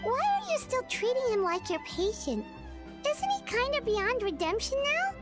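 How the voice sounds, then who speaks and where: A woman voicing a tiny, childlike fairy companion in a video game, squeaky, excitable and blunt. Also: moderate pace. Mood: doubtful, curious. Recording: music playing behind the voice.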